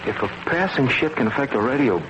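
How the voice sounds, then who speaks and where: A man's voice: medium pitch, lively intonation, fast, highs cut off, close to an everyday voice. An actor playing a wry, skeptical male lab assistant who jokes with his colleague in a 1940s radio drama. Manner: wry, incredulous. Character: adult male lab partner, skeptical and joking. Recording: old radio recording with loud hiss.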